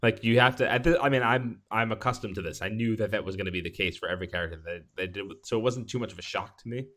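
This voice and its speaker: A man co-hosting a podcast, chatting casually about a film with a halting, rambling delivery. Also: lowish voice, fairly bright tone. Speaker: podcast co-host.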